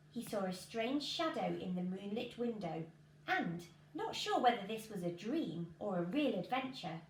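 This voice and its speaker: A woman in her thirties with an English accent, reading a children's storybook expressively. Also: fairly high pitch. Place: small living room, indoors. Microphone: camera a couple of metres away. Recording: roomy home recording with clear background noise.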